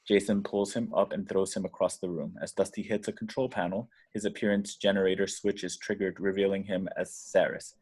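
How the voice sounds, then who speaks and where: A man reading screenplay action directions aloud in a deliberate, dramatic way. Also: low-pitched, little pitch movement, darkish tone, slightly rough. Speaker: stage directions reader.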